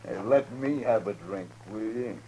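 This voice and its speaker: An actor playing a menacing, lecherous outlaw in a 1950s radio western, halting and muttering as he talks. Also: lowish voice, warm tone, close to an everyday voice. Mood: sly, idle. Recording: noisy 1950s radio recording with hum.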